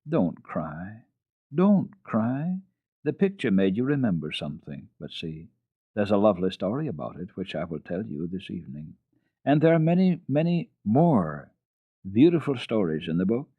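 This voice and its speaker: A man voicing a kindly, encouraging old grandmother in a storybook reading, coaxing and deliberate but gently firm. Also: fairly low pitch, very animated, husky. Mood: soothing. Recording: narrow-band, echoey old radio recording.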